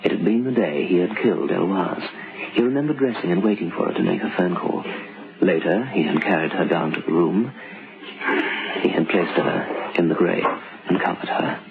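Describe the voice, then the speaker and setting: Grave male storyteller, deep-ish voice, deliberate, vintage radio drama, narrow lo-fi sound. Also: gravelly, noisy background. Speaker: narrator. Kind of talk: scripted old-time radio drama narration. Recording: lo-fi old radio recording with music.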